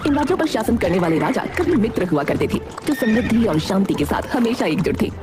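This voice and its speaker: A woman's voice: medium pitch, big pitch swings, brisk, noisy background. A woman narrating a scripted fairy tale in storybook style. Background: music.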